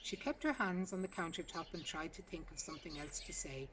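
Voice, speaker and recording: A woman's voice: low-pitched, lively intonation, brisk. A woman reads a short story aloud as scripted narration, recorded outdoors in a garden. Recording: distant mic, outdoor noise, birdsong. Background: birdsong and outdoor ambience.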